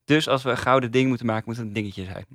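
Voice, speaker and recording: A man's voice: medium pitch, rapid-fire, a bit bright, no room sound. A man in casual review chat as a podcast panelist, on a close studio microphone.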